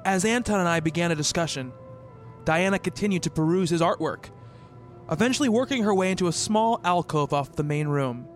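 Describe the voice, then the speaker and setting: An actor playing an earnest, devoted boyfriend who narrates a horror radio play in measured, formal, literary language. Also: male voice, sits high, lively intonation. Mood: measured, brooding. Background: music.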